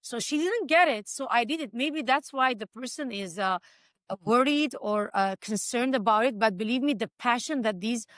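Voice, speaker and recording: A woman's voice: a little high, expressive, moderate pace, fairly bright tone, no echo. Armenian-accented woman, earnest, acts out lines while she explains, dry close sound. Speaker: author. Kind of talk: panel discussion.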